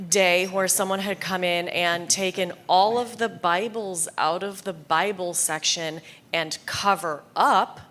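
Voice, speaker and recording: A woman's voice: mid-range voice, a bit bright. A woman bookseller speaking on a conference panel, hesitant with many fillers. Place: conference session room.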